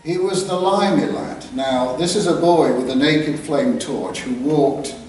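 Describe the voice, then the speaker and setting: An older man with an English East Midlands accent, telling a story live with careful diction and dramatic pauses. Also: a little high, a little mellow. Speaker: author reading his own work. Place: live reading in a theatre clubroom. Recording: very echoey hall, distant mic, background noise.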